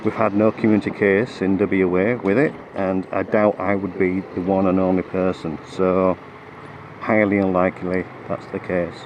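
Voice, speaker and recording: A man riding a motorcycle with a cold-roughened, croaky voice, chatting through the route with lots of ums and restarts. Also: deep voice, flat delivery, normal speed, highs cut off. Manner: hesitant, many fillers and restarts. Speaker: motorcycle vlogger. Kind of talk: rambling ride commentary. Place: on a motorcycle on the road. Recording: road and engine noise behind.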